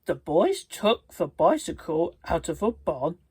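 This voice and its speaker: A husky voice reads a children's storybook aloud in a halting, careful way. The pitch moves around a lot while the pace stays on the slow side. The delivery stumbles now and then. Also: big pitch swings, darkish tone, no room sound. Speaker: storybook reader and video host. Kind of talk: read-aloud storybook narration.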